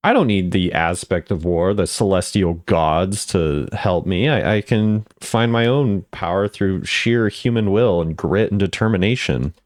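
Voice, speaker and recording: A man's voice: deep voice, a little slow, few pauses, dry recording, studio quality. A man giving offhand, casual commentary as a video creator, musing conversationally as he rates things. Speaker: video creator ranking game voice lines.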